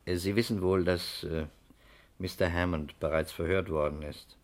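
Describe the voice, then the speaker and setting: Deep-voiced, probing investigator. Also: male voice, fairly even intonation. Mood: probing, dry. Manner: measured, terse, matter-of-fact. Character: calm, probing male investigator. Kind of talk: 1960s German radio crime drama acting.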